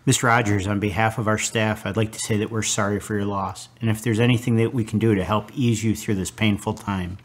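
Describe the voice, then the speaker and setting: A man's voice: on the low side, level tone, a little fast, hardly any pauses, close to an everyday voice. A man playing a courteous, formal funeral director making a call in a radio drama. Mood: polite, businesslike. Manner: formal, polite. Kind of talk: amateur radio drama acting.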